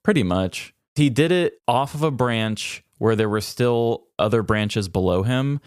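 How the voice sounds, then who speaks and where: A man's voice: medium pitch, expressive, measured pace, clear, resonant tone, dry recording, clean studio sound. A man co-hosting a podcast, telling personal stories in a casual, conversational way and acting out bits of dialogue. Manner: conversational, anecdotal, quotes people in dialogue. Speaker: podcast co-host. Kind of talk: casual storytelling chat.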